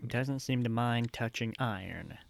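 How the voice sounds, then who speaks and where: A man's voice: mid-range voice, unhurried, vocal fry. A man chatting casually as a player in a tabletop role-playing game.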